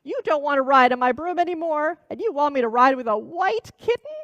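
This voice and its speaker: A woman voicing a bad-tempered old female witch who shrieks in a shrill, high voice. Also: big pitch swings, normal speed, a bit bright, no room sound, highs cut off, exaggerated voice. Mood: tearful rage. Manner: shrieking, furious.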